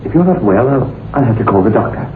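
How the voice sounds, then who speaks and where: A man playing a distraught, fearful husband in a radio horror drama, pleading haltingly and repeating himself as if losing his mind. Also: lowish voice, animated, phone-quality sound. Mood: anxious, coaxing. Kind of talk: old-time radio horror drama acting. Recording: very noisy, echoey, thin old radio recording.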